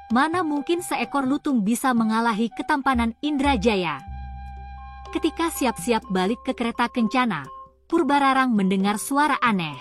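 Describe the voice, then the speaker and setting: A woman narrating a scripted folktale as a storyteller, recorded close up as a voice-over. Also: fairly high pitch.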